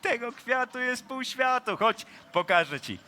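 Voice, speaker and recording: An actor as a jovial Parisian charmer, unhurried and swooping. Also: male voice, very high-pitched, animated, sharp tone, a little hiss or hum, exaggerated voice. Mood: roguish persuading. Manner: colloquial, teasing, chuckling, drawn-out theatrical phrasing. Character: jovial, cheeky Parisian man about town, laid-back charmer. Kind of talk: operetta radio play acting.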